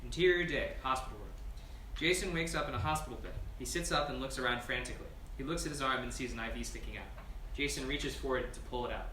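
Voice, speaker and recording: A man's voice: mid-range voice, quick pace, sharp tone. A man reading scene directions from a screenplay in a scripted narration style. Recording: distant, echoey room mic, faint noise.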